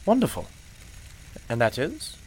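A man's voice: mid-range voice, huge pitch swings, measured pace, breathy edge, exaggerated voice. A man playing a courteous, kindly gift shop proprietor in an audio drama, speaking with formal, soothing politeness. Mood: welcoming. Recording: faint music under the voice.

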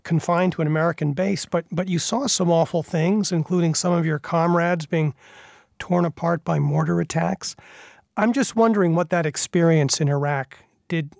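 A man hosting a public radio interview, asking questions in a measured, thoughtful way. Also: on the high side, gravelly, darkish tone, no room sound, studio quality. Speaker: radio host.

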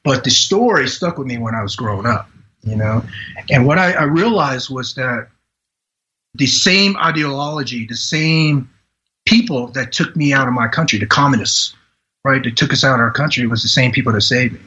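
A man in his forties from Vietnam, a podcast guest telling his life story in an earnest, halting way with many restarts. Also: medium pitch, expressive, sharp tone, dull audio. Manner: earnest, halting delivery with frequent "you know" restarts. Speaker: podcast guest, retired Green Beret. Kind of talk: personal storytelling in a podcast interview.

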